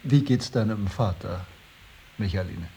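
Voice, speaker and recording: An actor playing a dry, curt, mildly condescending husband in a German radio drama. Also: male voice, on the low side, unhurried. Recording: radio drama with tape hiss.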